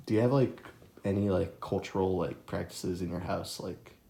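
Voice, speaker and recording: A man's voice: sits low, normal speed, creaky, warm tone, echoey room. A young man interviewing a friend in a casual, hesitant way, full of fillers and restarts. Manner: hesitant, lots of fillers and restarts, laughs easily.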